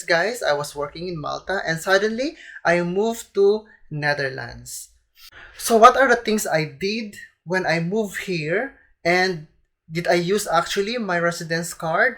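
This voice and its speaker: Young Filipina vlogger with a Filipino accent and a slight cold, chatty, talks to camera. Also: female voice, fairly low pitch, fairly bright tone. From Philippines. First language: Tagalog. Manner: chatty, keeps addressing viewers as "guys". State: has a cold. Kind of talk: casual advice vlog to camera.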